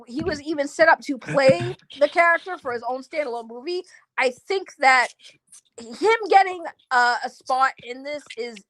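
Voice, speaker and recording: A woman's voice: sits high, a bit bright, no room sound, poor audio. A woman in casual chat as co-host, blunt and argumentative, swearing freely.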